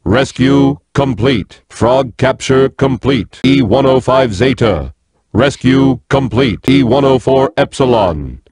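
Stern video game combat robot, slow stiff delivery, pitch steps up and down a lot. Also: male voice, slightly rough. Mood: cold, mechanical. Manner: stiff, measured, monotone-phrased machine delivery. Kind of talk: video game character voice lines.

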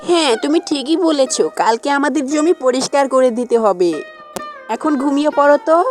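A woman's voice: high voice, brisk, faint music behind, natural voice. A woman voicing an agreeable, hardworking farmer's wife in a cartoon. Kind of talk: amateur cartoon dialogue.

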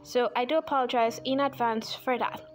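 A woman's voice: a little high, fairly even intonation, moderate pace, faint music behind. A young woman from Guyana with a Guyanese accent, chatting casually and self-deprecatingly about art supplies while she draws at a desk. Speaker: art channel host. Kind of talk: casual chat while drawing. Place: indoors at a desk. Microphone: overhead camera on hands.

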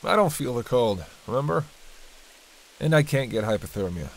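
A man's voice: medium pitch, normal speed, creaky, poor audio. A man playing a hungry, cranky vampire in a roleplay audio drama, bantering sardonically and intimately with a listener cast as a hunter. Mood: defiant, sardonic. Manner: sardonic, teasing, dry banter. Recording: steady rain and hiss behind.

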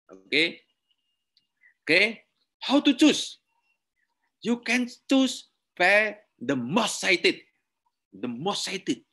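A man's voice: fairly high pitch, bright, forceful tone. A man with an Indonesian accent, a lecturer giving a webinar tutorial in simple English and saying "okay" often. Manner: slow, repetitive, punctuates with "okay"; simple non-native English. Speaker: university lecturer. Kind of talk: webinar tutorial, screen-share demonstration.

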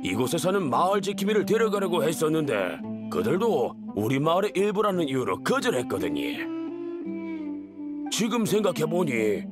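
A man's voice: on the high side, very animated, moderate pace. An actor playing an earnest, helpful village man in a video game, speaking thoughtfully and politely. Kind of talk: game character dialogue. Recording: music behind the voice.

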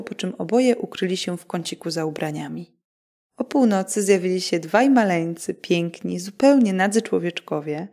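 A woman narrates a scripted bedtime fairy tale. Her voice sits at a middle pitch for a woman, and she reads the story for listeners about to sleep. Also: darkish tone.

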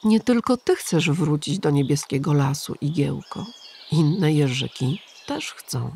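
A weary, kind old grey pigeon in a storybook, speaking slowly and gently to comfort a young child, as a woman puts him on. The voice is low and a little gravelly. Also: a little hiss or hum, full character voice. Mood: reassuring. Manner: calm, gentle and reassuring.

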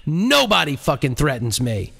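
Man reading as irate male president, audiobook character, raised pitch, blustering, indignant, emphatic. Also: huge pitch swings, normal speed, gravelly, sharp tone, slightly rough, exaggerated voice. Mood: furious, defiant.

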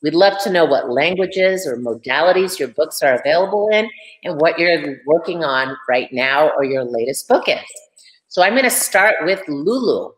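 A woman moderating a panel over a video call, measured and welcoming. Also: low-pitched, animated. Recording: roomy echo on video call.

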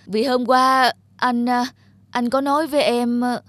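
A woman's voice: on the high side, fairly even intonation, a little slow, dry recording, natural voice. Woman voicing an eager, fawning male office worker. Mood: flustered, dismayed. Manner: deferential, fawning, hesitant. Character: eager, ingratiating male factory employee hungry for promotion. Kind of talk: cartoon voice acting.

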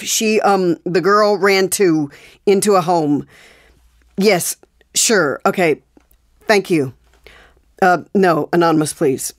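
A woman's voice: mid-range voice, natural voice. A woman playing a shaken, angry pregnant wife with an American accent in a naturalistic audio drama, insistent and swearing. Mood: unsettled. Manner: agitated, profane, insistent.

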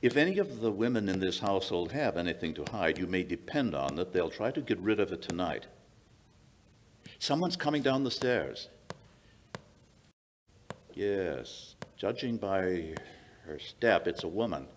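A man's voice: low-pitched, very animated, measured pace, pauses often, a little hiss or hum. An older man playing a commanding, self-assured detective in an old-time radio drama, authoritative and explanatory. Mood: alert, commanding. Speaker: amateur radio theatre actor. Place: small indoor museum stage. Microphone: standing vintage-style stage microphone.

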